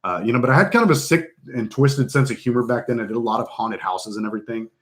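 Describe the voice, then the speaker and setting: A man with a deep voice, casually hosting a fantasy football draft stream, joking and rambling with lots of fillers. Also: fast talker. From Texas. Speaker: fantasy football league host. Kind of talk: casual live stream chat.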